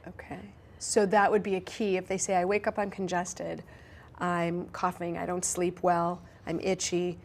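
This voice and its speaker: A woman's voice: fairly low pitch, level tone, a little hiss or hum. A woman doctor hosting a health interview show, asking follow-up questions and summing up her guest's answers. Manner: inquisitive, recaps answers back, brisk follow-up questions. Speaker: talk show host, doctor.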